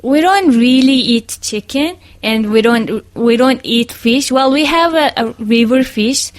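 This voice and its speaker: Young woman, fairly high voice, stops n searches for english words, dry sound. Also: measured pace, no room sound. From Mongolia. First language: Mongolian. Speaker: interviewee. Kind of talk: casual interview answers.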